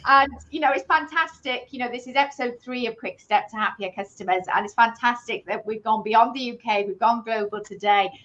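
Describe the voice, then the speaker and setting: A woman's voice: on the high side, fairly quick, hardly any pauses, a bit bright, lo-fi sound. A woman with a British accent hosting a live webinar, chatty and enthusiastic, with many fillers. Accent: British English. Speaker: host.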